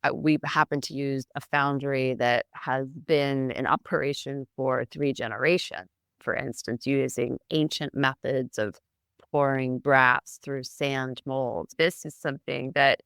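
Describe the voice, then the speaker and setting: A middle-aged American woman from near Boston, a guest telling her story in a chatty, animated way with occasional laughs. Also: very deep voice, unhurried, creaky, clear, resonant tone, no room sound. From United States, Boston area. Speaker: guest, founder. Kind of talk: casual interview.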